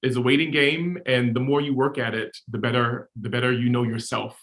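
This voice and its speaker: A man, an opera tenor on a panel, speaking thoughtfully and haltingly with fillers. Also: medium pitch, level tone, a bit bright. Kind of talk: panel discussion.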